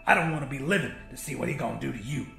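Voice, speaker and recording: A man's voice: medium pitch, rapid-fire, creaky, sharp tone, echoey room, soft background music. A man voicing a big, angry, foul-mouthed cook with a scornful, slangy drawl in an audiobook story. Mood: resigned.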